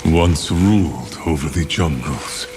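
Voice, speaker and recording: Slow, deep, solemn fantasy storyteller. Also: male voice, background noise. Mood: solemn, grave. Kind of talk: dramatic scripted narration for game cinematic.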